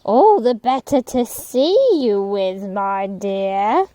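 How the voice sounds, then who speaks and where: A woman's voice: mid-range voice, slow pace, dark tone, natural voice. A woman voicing a sly male wolf in a children's storybook, coaxing and falsely sweet as he poses as a granny. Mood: sly, menacing.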